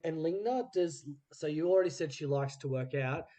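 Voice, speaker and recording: A man's voice: a little high, moderate pace, warm tone. A man hosting a podcast, interviewing guests in a casual style and setting improv prompts.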